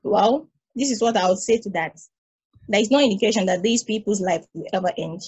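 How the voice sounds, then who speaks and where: Woman reading a calm ageless probe, husky, patient, philosophical. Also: mid-range voice, a little fast, lightly acted. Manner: calm, reasoned explaining. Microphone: video call.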